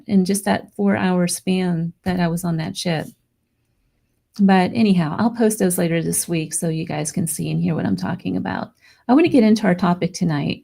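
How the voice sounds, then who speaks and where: A woman hosting a live paranormal radio show, hoarse and losing her voice, chatting excitedly with her listeners. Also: on the low side. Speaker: radio podcast host. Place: home studio near Las Vegas.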